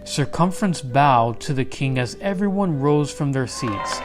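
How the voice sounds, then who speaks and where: Male storybook narrator, expressive kids' read-aloud, mid-range voice, light music behind. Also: mid-range voice, a little slow. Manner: animated, with acted character voices. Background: background music.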